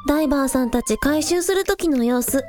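Woman playing an upbeat, high-voiced young idol girl. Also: a little fast, darkish tone, soft background music, close to an everyday voice. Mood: excited, brisk. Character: casual, upbeat, take-charge young village idol girl. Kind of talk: Japanese audio drama acting.

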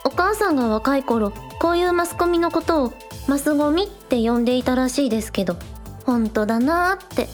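A woman's voice: sits high, animated, brisk, soft background music. A woman voicing a spirited, earnest young shrine maiden and village idol in a radio drama. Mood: frustrated, resolute.

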